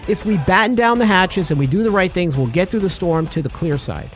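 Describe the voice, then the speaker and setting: A man's voice: sits high, brisk, soft background music. A man hosting a radio talk show, chatting casually and acting out little stories as he goes. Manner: animated storyteller, acts out little scenes.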